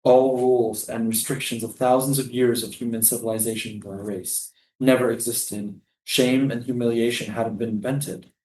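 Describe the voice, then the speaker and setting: A man reading the part of a tender, defiant Palestinian refugee prisoner in a staged play, lyrical and deliberate. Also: medium pitch, steady tone, warm tone, a little hiss or hum, natural voice. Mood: intimate, dreamy. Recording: roomy, echoing live stage reading.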